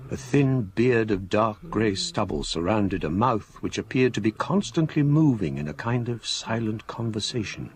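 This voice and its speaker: English gentleman narrating spooky radio play, low voice but lots of inflection, formal n literary, slight noise. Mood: sombre. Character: erudite English gentleman recalling an eerie tale, measured and grave. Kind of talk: scripted first-person narration, radio drama. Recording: faint background noise.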